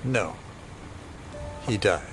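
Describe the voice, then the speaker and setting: A man playing a sinister older conspirator in an audio drama, talking in a cryptic, condescending, deliberate way. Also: fairly low pitch, expressive, over music. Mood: wry resignation. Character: sinister, knowing older male conspirator, revived as a copy. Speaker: actor playing a shadowy villain.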